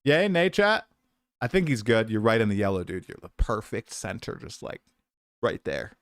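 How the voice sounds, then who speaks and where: A man's voice: fairly low pitch, very animated, normal speed, a bit bright, dry recording. A man in his late twenties hosting a casual livestream, chatty and self-deprecating, coming down with a cold. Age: 20s. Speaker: streamer host.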